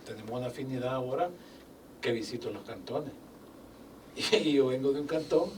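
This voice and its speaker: Older man, storytelling guest, animated, heard from a distance. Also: medium pitch, moderate pace. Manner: animated, acts out quoted dialogue, religious phrasing. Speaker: guest, business owner. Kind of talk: casual interview storytelling. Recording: distant mic with faint background noise.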